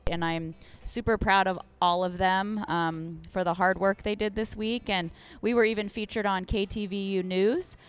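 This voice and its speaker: A woman's voice: mid-range voice, fairly even intonation, normal speed, hardly any pauses, clear, resonant tone, faint music behind. A woman working as an outreach manager, speaking in an enthusiastic, casual way with many fillers. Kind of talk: casual chat.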